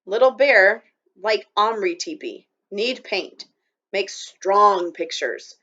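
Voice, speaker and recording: A woman's voice: medium pitch, animated, slow pace, bright, forceful tone, highs cut off, full character voice. A woman voicing a stern, proud tiny warrior man who speaks in clipped, broken, commanding phrases.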